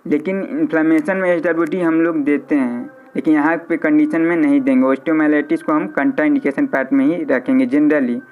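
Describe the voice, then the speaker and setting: A man with an Indian accent teaches an informal online class in a smooth, clean voice that sits a little high. He repeats key phrases and drops in English terms as he goes. The audio is somewhat dull and lo-fi. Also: steady tone, moderate pace, hardly any pauses. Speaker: physiotherapy lecturer hosting a live online class. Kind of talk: informal live lecture with audience questions. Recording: slightly dull, band-limited audio.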